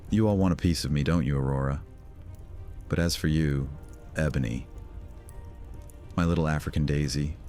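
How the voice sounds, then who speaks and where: An actor playing a brooding, sardonic vampire lord in a horror audio drama, speaking in a theatrical, deliberate, taunting manner. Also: male voice, a bass voice, a little mellow.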